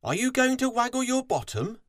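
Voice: mid-range voice, wildly swooping pitch, slow, a bit bright, no room sound, clean studio sound, pitched above the performer's own voice, heavily acted. A storybook narrator voicing a friendly, helpful male fox who is eager to teach. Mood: surprised.

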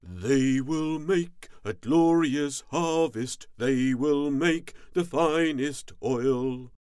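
A performer playing a big, contented olive farmer who sings slowly to himself in a storybook tale. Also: mid-range voice, darkish tone, smooth, no echo, studio quality, pitched above the performer's own voice. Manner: singing, slow and stately.

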